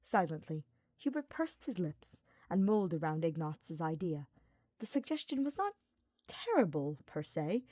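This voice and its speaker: A woman reading scripted fiction as an audiobook narrator, in an expressive, deliberate storytelling style. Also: fairly low pitch, no room sound.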